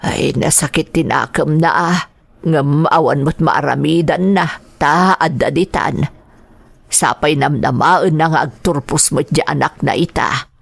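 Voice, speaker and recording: A woman playing a kindly, forgiving middle-aged mother in an Ilocano radio drama, with a creaky voice and a Filipino accent. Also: low voice, a little slow, dry recording, full character voice. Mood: concerned, confiding. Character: kindly, grateful mother, an older sister figure, forgiving and earnest.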